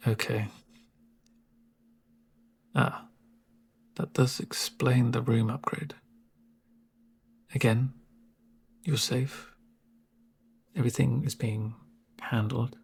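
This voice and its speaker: A man playing a calm medic in a soft-spoken ASMR roleplay, gentle and reassuring with dry humour and a breathy, near-whispered voice. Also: mid-range voice, exaggerated voice. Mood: dryly amused. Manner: gentle, unhurried, reassuring murmur.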